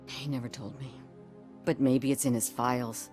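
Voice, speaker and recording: An actress playing a worried, bitter wife in a video game, talking in a blunt, plain-spoken way. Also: female voice, rumbling low, fairly even intonation, normal speed, a little mellow, soft background music.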